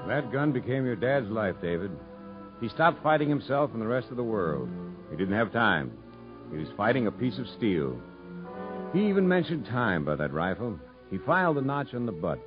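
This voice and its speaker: A blunt loyal male prison warden, lively delivery, old 50s radio drama w/ music, kinda muffled. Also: lowish voice, moderate pace. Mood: warm, reflective. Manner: earnest, firm, blunt. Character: gruff, loyal prison warden, stubborn and plainspoken. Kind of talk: 1950s radio drama acting.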